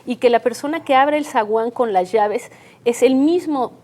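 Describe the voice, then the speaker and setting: An actress playing a probing television news host who talks in conversational, broken phrases in a live interview. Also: female voice, on the high side, moderate pace, a little mellow, close to an everyday voice. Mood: probing, serious. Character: probing female television news host, composed but pressing.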